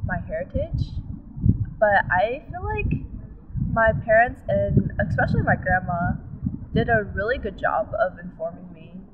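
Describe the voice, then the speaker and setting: A woman's voice: mid-range voice, expressive, unhurried. A woman answers interview questions casually, with lots of "like" and "um". Her voice comes through narrow and phone-like, with faint noise underneath. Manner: casual, filler-heavy.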